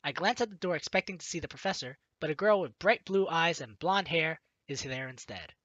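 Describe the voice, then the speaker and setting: Lively low-pitched female let's player. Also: a little fast, lo-fi sound. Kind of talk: expressive read-aloud narration and casual game commentary.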